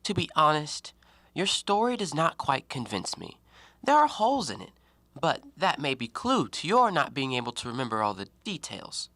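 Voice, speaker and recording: An educated, self-justifying man , played by an actor in an audio drama, speaks in formal, reasoned sentences. The voice is bright and crisp with animated swings of pitch, recorded close and dry. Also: normal speed, dry recording, full character voice. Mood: dismissive, probing. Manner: articulate, rationalizing, formal stage diction. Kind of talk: stage-play acting in an audio drama.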